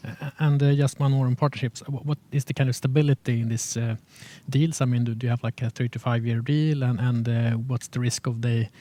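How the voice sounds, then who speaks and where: A male interviewer with a mellow, subdued tone and very little pitch movement asks questions on a financial call. His phrasing is halting, with repeated words as he works toward each question. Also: steady tone. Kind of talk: question-and-answer on a business call.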